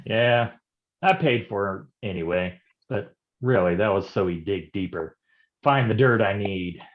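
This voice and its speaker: An actor playing a hard-boiled male private detective in a noir staged reading, gruff and wisecracking in old-time gumshoe slang. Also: lowish voice, a little slow, phone-quality sound, lightly acted. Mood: wry.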